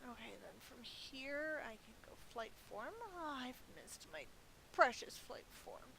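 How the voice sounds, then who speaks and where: A woman's voice: high voice, sing-song, unhurried, gravelly, bright, forceful tone, poor audio. A woman giving casual live gameplay commentary as a streamer, chatty and thinking aloud. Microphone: budget gaming mic. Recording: faint hiss from a cheap microphone.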